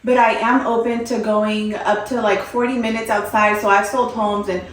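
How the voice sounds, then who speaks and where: A woman's voice: mid-range voice, level tone, moderate pace, very echoey, low-quality recording. A young woman from Mexico, a realtor guest on a podcast, answering questions in a friendly, upbeat way with some fillers. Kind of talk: interview answers.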